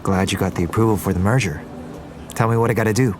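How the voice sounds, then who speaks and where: A man's voice: fairly low pitch, fairly even intonation, fast, noisy background, close to an everyday voice. An actor playing a smitten, playful young man in a romantic audio drama. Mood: tender. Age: young adult.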